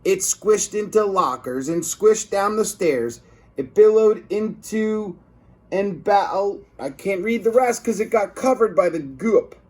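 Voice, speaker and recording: Slow-paced man reading a children's book. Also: high voice. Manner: expressive, emphasizing words for children. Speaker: teacher reading aloud to students. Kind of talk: children's book read-aloud.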